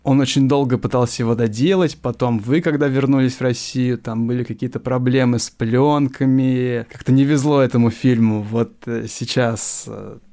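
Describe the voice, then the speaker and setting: A man's voice: medium pitch, steady tone, moderate pace, hardly any pauses, mellow. A man interviewing someone informally, feeling his way through long, tentative questions.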